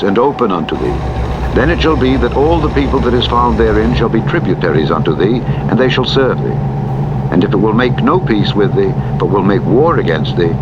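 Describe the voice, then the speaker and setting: A man narrating the Bible in a solemn, formal and measured way, with deliberate phrasing. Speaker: audiobook narrator reading scripture. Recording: muffled radio-like audio under loud truck noise. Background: loud vehicle rumble from a truck driving game.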